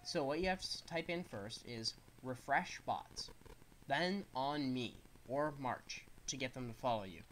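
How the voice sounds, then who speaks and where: A man's voice: mid-range voice, unhurried, fairly bright tone, slight background noise, low-quality recording. A young man with a stuffed-up nose casually commenting on a game he is playing, explaining the controls as he goes. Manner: rambling, explains controls as he plays. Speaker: gaming streamer.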